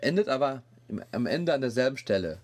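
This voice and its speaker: A man's voice: mid-range voice, sing-song, fairly quick, darkish tone. A man hosting a podcast, giving a casual, colloquial and rambling review. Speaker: podcast host reviewing an app. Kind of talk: casual chat, informal review.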